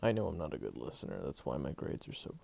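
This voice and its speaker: A man's voice: rumbling low, sing-song, fast, gravelly, a little mellow, heavily acted. A man voicing a prickly young male student who secretly cares, talking hesitantly with stammers and ums in an audio roleplay. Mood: grudgingly listening. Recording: dull lo-fi recording.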